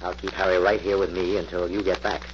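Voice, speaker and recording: A male actor plays an authoritative, skeptical investigator of vintage radio mystery. He talks fast and clipped in a fairly low voice. The old recording is heavy with noise. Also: little pitch movement, lightly acted. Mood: brisk, decisive. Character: brisk, skeptical, take-charge male investigator hero. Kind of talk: 1940s radio acting. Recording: muffled, very noisy old-time radio recording.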